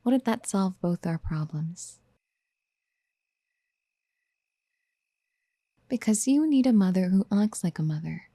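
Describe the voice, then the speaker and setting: A woman playing a possessive, motherly yandere in a soft-spoken ASMR roleplay, gentle and coaxing, often slipping toward a breathy whisper. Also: mid-range voice, no echo. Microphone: close studio mic.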